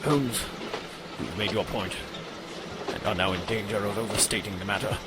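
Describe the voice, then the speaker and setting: A man's voice: fairly low pitch, steady tone, moderate pace, heavy background noise, natural voice. A man playing a bumbling pompous doctor. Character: bumbling, vain male doctor sidekick. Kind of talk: comedic audio drama acting.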